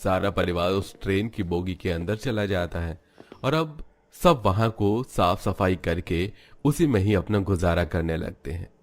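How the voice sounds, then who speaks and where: A man narrating a scripted animated family drama as a storyteller. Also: low voice.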